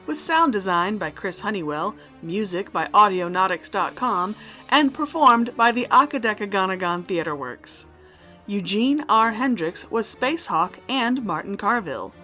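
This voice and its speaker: A woman's voice: medium pitch, bright, forceful tone. A woman reading scripted closing credits as the announcer of an audio drama.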